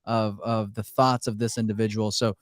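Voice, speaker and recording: A man's voice: lowish voice, level tone, moderate pace, no room sound, studio quality. A man hosting a casual interview, speaking in an enthusiastic, slangy, bro-like way. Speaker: interview host.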